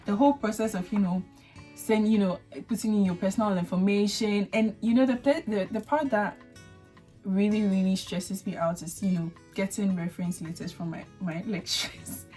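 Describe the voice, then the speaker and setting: A young woman with a Ghanaian accent, not a native English speaker, hosting a casual chat and telling her story with lively acted-out thoughts. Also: medium pitch, normal speed, soft background music. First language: a Ghanaian language. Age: young adult. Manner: animated storyteller acting out her own thoughts. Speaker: vlogger host.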